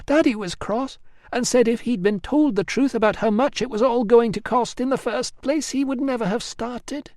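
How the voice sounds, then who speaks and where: A woman's voice: a little high, moderate pace, darkish tone, dry recording, clean studio sound, voice pushed up, close to an everyday voice. A man reading an audiobook, voicing a sad, hesitant middle-aged woman who is a brewer's daughter.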